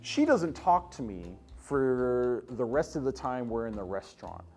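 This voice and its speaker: A man hosting a comic first-person story, told theatrically with dramatic emphasis and drawn-out words. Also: mid-range voice, sing-song, a little mellow, breathy edge, faint music behind. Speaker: storyteller host.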